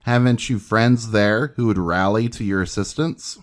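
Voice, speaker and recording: A man with a fairly low voice gives a scripted dramatic narration. He reads as a reporter from an old newspaper interview, formal and measured in his delivery. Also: fairly even intonation.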